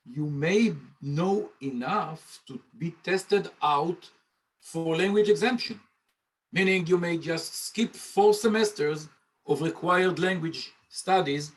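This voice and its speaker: Male Hebrew instructor, slightly high voice, playful, chatty, talking on a video call. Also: rough recording. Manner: joking, conversational, talks straight to students. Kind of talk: informal presentation on a video call.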